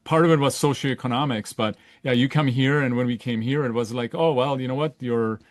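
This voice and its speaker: A man's voice: medium pitch, fairly quick, dry recording. Agreeable male host, dry sound. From Czechoslovakia. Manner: agreeable, frequent yeahs and restarts. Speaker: podcast host. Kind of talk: casual interview conversation.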